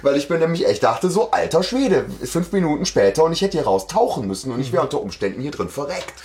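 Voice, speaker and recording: A man's voice: a little high, very animated, fast, a little mellow. A man co-hosting a casual fan podcast, telling things in blunt, slangy, colloquial language and acting out bits as he goes. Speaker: podcast co-host. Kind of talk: casual fan podcast chat, anecdotal retelling.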